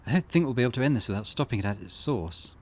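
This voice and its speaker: A man voicing a calm, analytical young woman investigating curses in a visual novel game. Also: medium pitch, natural voice. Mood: serious, measured. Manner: measured, analytical.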